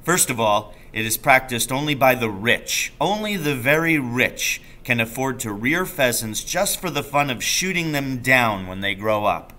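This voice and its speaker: A roguish, enthusiastic storybook father, read out by a man. He tells his tales with emphatic relish, in a bright voice that moves animatedly up and down. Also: medium pitch, animated, a little slow, few pauses. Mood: scornful, explaining.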